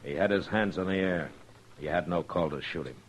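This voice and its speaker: An actor playing a stern, seasoned frontier marshal in a radio Western, terse and commanding with a dry, skeptical edge. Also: male voice, low-pitched, fairly quick, natural voice. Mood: stern, probing. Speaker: lawman. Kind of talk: old-time radio Western acting. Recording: hissy old radio recording.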